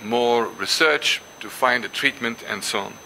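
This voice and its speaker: An older man whose first language is Dutch gives a symposium lecture, pausing often with ums and restarts and reading passages aloud. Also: medium pitch, creaky, sharp tone, slight background noise, poor audio. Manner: hesitant, many ums and restarts. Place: lecture hall.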